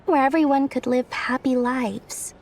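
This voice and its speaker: A woman's voice: high voice, steady tone, unhurried, darkish tone, a little hiss or hum. A woman playing a haughty, brash young female investor in a video game. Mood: earnest. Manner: haughty, brash, self-important, easily flustered. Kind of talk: video game cutscene acting.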